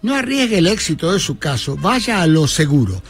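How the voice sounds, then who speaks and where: Gravelly man, studio mic, husky, friendly halting host with lots of fillers. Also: on the high side, big pitch swings. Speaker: radio host. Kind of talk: community radio talk show with read ads.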